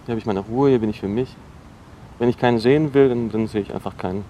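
An actor playing a defensive, awkward young dropout in a naturalistic radio drama, halting and repeating himself as he talks. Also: medium pitch, dark tone, highs cut off, natural voice. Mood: awkwardly confiding. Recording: noisy location recording with ambient hum.

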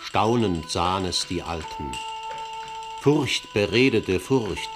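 The narrator of an ancient tragedy in a radio play. The verse comes slowly and solemnly in a low declaiming voice over faint music. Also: male voice, animated, heavily acted.

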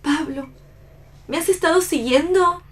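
Proud posh young woman let down by love, high voice, melancholy radio play delivery. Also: a little slow, a bit bright. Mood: questioning. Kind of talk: read radio theatre.

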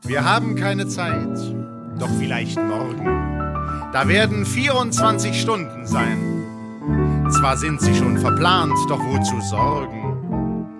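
An actor as a wry balladeer in a radio drama, delivering a satirical rhymed cabaret song in an unhurried, ironic way. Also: male voice, a little high, expressive. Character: male balladeer, wry satirist of busy modern people. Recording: loud guitar music with the voice. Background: plucked guitar.

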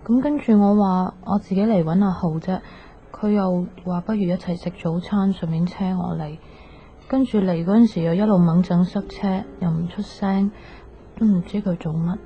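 A woman's voice: fairly low pitch, normal speed, lightly acted. An actress with a Hong Kong Cantonese accent playing a mild, puzzled young girlfriend in a radio drama. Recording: dull, noisy radio drama recording.

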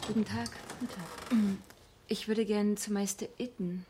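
An actress playing an earnest young art student in a radio drama, halting and hesitant in her speech. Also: mid-range voice, fairly even intonation, warm tone, faint music behind, natural voice. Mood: polite, earnest. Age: young adult.

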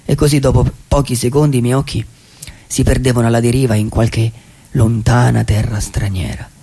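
A man performs a lovelorn, wistful vagabond in a radio theatre monologue. His voice is mellow and husky, with a gravelly fry underneath. He speaks in poetic, halting phrases, repeating words for emphasis. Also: mid-range voice, flat delivery. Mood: wistful, regretful.

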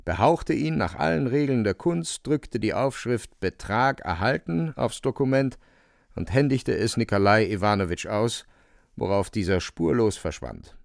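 A man narrating a radio drama from a script, in an expressive storytelling style. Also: on the low side, a little slow, gravelly, no echo, clean studio sound.

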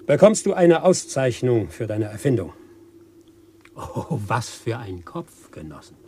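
An actor playing a stern official in a radio play, with a declamatory delivery. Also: male voice, medium pitch, a little hiss or hum, lightly acted. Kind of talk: 1980s radio drama acting.

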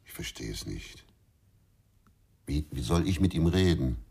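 An actor playing a troubled, reluctant police investigator in a radio crime drama, gruff, terse and husky. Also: male voice, expressive, unhurried, dark tone, exaggerated voice. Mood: reluctant, uneasy. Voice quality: very deep, husky.

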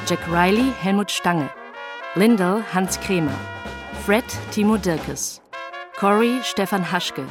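A woman announcing the title and credits of a radio play in a scripted style. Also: mid-range voice. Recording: music behind the announcement.

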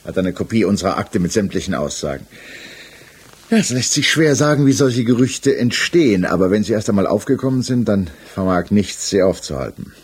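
A man acting as an examining magistrate in a 1960s German radio drama, speaking in a measured, formal way with pointed questions. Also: on the low side, expressive, darkish tone, husky. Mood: pressing. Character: male examining magistrate, methodical, probing, insistent, coolly persistent. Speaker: actor in a radio crime drama.

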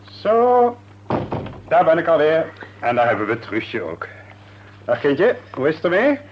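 An actor playing a hearty, long-winded husband with plans in an old Dutch radio play. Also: male voice, a little high, big pitch swings, a little slow, highs cut off, slight background noise. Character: talkative jovial husband with a business plan.

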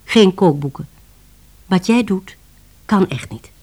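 An actress playing a formal, exasperated woman boss at a publishing house in a radio play, measured and reproving. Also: mid-range voice, lively intonation, a little mellow.

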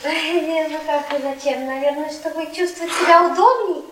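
Woman doing a tipsy chirpy little wife, super high sing-song, radio play, echoey and off mic. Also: normal speed, recorded from a distance, background noise, close to an everyday voice. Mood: flustered. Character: naive, girlish tipsy young wife, prim and chirpy. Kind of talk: Russian radio theatre acting.

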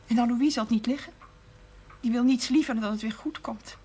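A woman's voice: on the high side, brisk, dark tone, breathy edge. An actress playing a worried woman in a 1970s television drama, speaking anxiously.

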